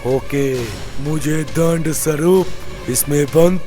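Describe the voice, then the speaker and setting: A man playing a huge, proud, menacing demon in a children's cartoon, with threatening, drawn-out phrases. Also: on the high side, warm tone, soft background music. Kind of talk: Hindi children's cartoon story acting.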